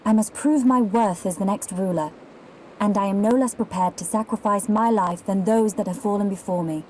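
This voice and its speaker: A woman's voice: medium pitch, normal speed, darkish tone, natural voice, rough recording. A woman playing a proud, resolute young princess in a video game, formal and regal in speech. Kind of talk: video game cutscene acting. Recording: faint ambient hiss.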